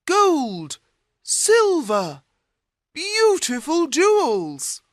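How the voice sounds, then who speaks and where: A woman voicing a greedy, demanding man in a storybook, loud and insistent in manner. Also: high voice, huge pitch swings, extremely slow, no echo, studio quality, pitched above the performer's own voice, exaggerated voice. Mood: amazed, greedy. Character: Kasim, greedy demanding brother, grown man. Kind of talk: storybook character voice.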